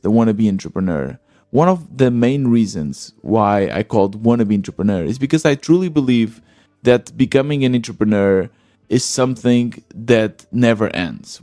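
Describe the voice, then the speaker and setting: A man's voice: mid-range voice, mellow, no room sound, clean studio sound. A man hosting his own podcast, talking earnestly and thinking aloud with small restarts and fillers. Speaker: podcast host. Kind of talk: solo podcast monologue.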